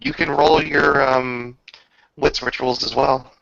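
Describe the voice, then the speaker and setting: Guy game mastering, really fry-heavy voice, halting delivery, dull lo-fi sound. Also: medium pitch, steady tone, a bit bright. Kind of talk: tabletop roleplay narration and casual rules talk.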